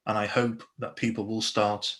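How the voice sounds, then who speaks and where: A man's voice: lowish voice, level tone, normal speed, a bit bright. A young adult man from Slovakia, a police constable, speaking earnestly on a video call interview with many restarts.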